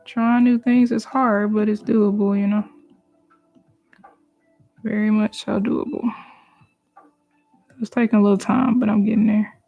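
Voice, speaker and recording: A woman's voice: medium pitch, measured pace, gravelly, long pauses, lo-fi sound, soft background music. A woman chatting casually to viewers during a live drawing stream, easygoing and sometimes dropping to a whisper. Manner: relaxed, chatty, talks to viewers while working, drops to whispers.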